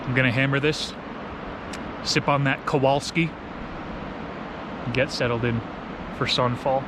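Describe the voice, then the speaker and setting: A man's voice: medium pitch, steady tone, measured pace, background noise. A man from New Jersey with an American accent, chatting and telling stories in a casual, slangy, playful way as a travel vlogger. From New Jersey, United States. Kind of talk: casual vlog chat with storytelling. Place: outdoors, camping.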